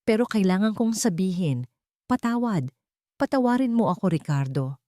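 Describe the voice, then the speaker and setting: A woman voicing a remorseful, tearful businesswoman who has lost everything, speaking softly and brokenly. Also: mid-range voice, expressive, normal speed, no echo, studio quality, voice pushed up, natural voice. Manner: soft, broken, pleading.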